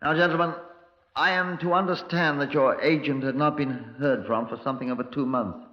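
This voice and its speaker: An actor playing a brusque, sardonic Scotland Yard chief inspector in a 1950s radio crime drama. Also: male voice, on the high side, fairly even intonation, a little fast, lightly acted. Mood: brusque, impatient. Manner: brusque, dry, sarcastic. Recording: dull, lo-fi 1950s radio recording.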